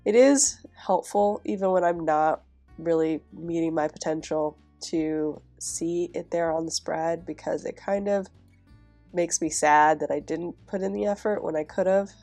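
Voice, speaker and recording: Low, gravelly stuffy-nosed woman vlogger. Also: a little slow, faint music behind. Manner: chatty, relaxed, with soft laughs. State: stuffy, nasal from a cold. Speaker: planner vlogger. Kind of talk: casual voice-over while decorating a planner.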